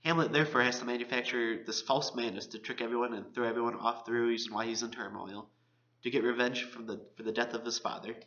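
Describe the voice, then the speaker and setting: A man's voice: mid-range voice, fairly even intonation, fast talker, sharp tone, muffled recording. A man, a college student presenting, reads aloud a scripted discussion of literature.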